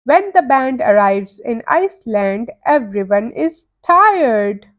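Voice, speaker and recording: Female storybook narrator, high and animated, extremely unhurried delivery for small children. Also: dull audio. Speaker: children's read-aloud narrator.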